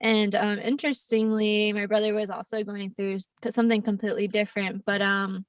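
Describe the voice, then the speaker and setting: A woman's voice: mid-range voice, level tone, brisk, no room sound, far from the mic. A young woman who grew up in Costa Rica, telling her story as a podcast guest, laughing often and rambling with many fillers. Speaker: podcast guest, plant medicine facilitator. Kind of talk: casual interview storytelling.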